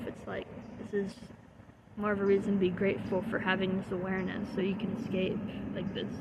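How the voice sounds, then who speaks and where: A young woman speaks in a candid, heartfelt monologue, her voice gravelly with fry and her pitch held fairly flat. She swears casually and drifts between thoughts. There is steady noise behind her, with vehicles passing. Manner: earnest and heartfelt, swearing, trailing off with soft laughs. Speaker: vlogger.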